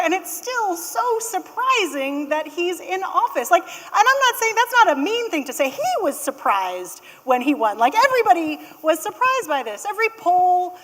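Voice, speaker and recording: A woman's voice: high-pitched, fairly bright tone, big-room sound. An American woman giving a conversational conference keynote with wry asides. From United States. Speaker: conference speaker. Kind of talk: keynote talk.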